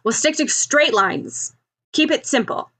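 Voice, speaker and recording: A woman performs a brash young man in an audiobook story. He is gruff and short-tempered, snapping out curt, bossy commands with total cockiness. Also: sits high, lively intonation, unhurried, fairly bright tone, in a large hall, pitched above the performer's own voice, heavily acted. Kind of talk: audiobook character voice.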